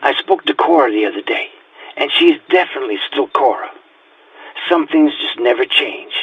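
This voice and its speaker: A man's voice: fairly high pitch, expressive, normal speed, slightly rough, phone-quality sound, full character voice. A man playing a weary, haunted police detective nearing retirement, speaking a reflective, confiding journal entry in an audio drama.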